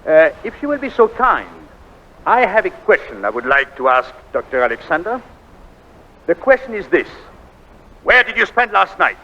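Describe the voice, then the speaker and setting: Indignant husband, high-strung 1940s radio drama. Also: male voice, big pitch swings, normal speed, bright, forceful tone, big-room sound, heavily acted. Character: indignant, jealous, imperious newlywed husband. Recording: muffled, noisy, clicking 1940s radio broadcast.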